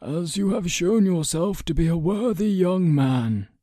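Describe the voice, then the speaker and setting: A man playing a careful, persuasive guest in an audio story, measured but emphatic and fierce when challenged. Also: high voice, lively intonation, warm tone, dry recording, clean studio sound. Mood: careful, encouraging.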